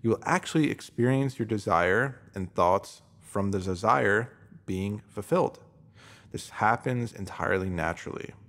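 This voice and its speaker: Man, lowish pitch, scripted self-help narration, instructive delivery. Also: moderate pace, a bit bright. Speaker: narrator reading a written post.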